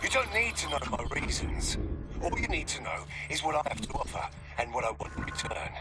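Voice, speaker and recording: An actor playing a ruthless, scheming mercenary in a video game cutscene, with a clipped, commanding, menacing delivery. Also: male voice, on the high side, sing-song, brisk, very noisy. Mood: cold. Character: ruthless male scheming mercenary trader, British.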